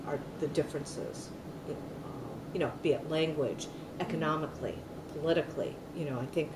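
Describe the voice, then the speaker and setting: Low-voiced woman rambling with many fillers. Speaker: interviewee. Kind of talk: oral history interview. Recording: echoey room, mic at a distance, noisy.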